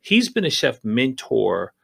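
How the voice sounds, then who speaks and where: A man's voice: medium pitch, sing-song, slow pace, vocal fry, breathy edge. A man hosting a casual podcast interview as a fellow chef, talking emphatically in slangy phrases and repeating words to press his point.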